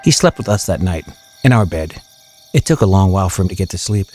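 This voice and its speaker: An ordinary small-town family man, played by an actor, wistfully recounting eerie memories. His voice is on the low side, with folksy, measured storytelling over clearly audible music in a horror audio drama. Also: lively intonation, dark tone. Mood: reflective, measured. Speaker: first-person narrator.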